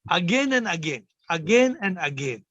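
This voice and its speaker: A man hosting a spiritual video call, talking with a slightly low, subdued voice, emphatic and repeating phrases as he questions listeners. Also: sing-song, moderate pace, fairly bright tone, no room sound. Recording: muffled video call audio.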